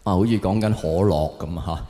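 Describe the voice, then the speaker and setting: A man's voice: deep voice, moderate pace, dark tone, a little hiss or hum. A man with a Hong Kong Cantonese accent performs live stand-up comedy, talking conversationally and directly to his audience on stage. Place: on stage in a large indoor venue. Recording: echoey large hall with long reverb.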